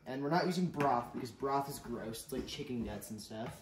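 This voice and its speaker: A man's voice: medium pitch, animated, brisk. A teenage boy hosting a mock cooking show at home, joking sarcastically about gross ingredients. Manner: sarcastic, jokey, playfully enthusiastic about gross food. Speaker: co-host cooking. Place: home kitchen, indoors. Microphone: camera across the counter. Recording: echoey kitchen, clattering pots.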